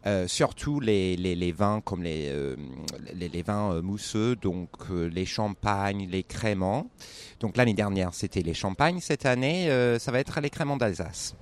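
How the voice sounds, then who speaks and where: A man's voice: on the low side, lively intonation, unhurried, clear, resonant tone. A man with a British accent, speaking as a wine competition judge in an interview outdoors at a fair, hesitant with many restarts.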